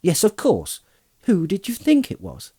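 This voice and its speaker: A man's voice: sits high, huge pitch swings, breathy edge, voice pushed up, heavily acted. A man voicing a cheerful young male hobbit, chatty and teasing, in a storybook reading. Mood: amused. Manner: chatty, teasing, bright and brisk. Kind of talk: audiobook character voice.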